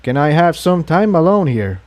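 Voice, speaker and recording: A man's voice: on the high side, sing-song, normal speed, darkish tone, pitched above the performer's own voice. A man voicing an earnest male unicorn traveler who asks questions politely in a storybook reading. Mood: polite, inquiring.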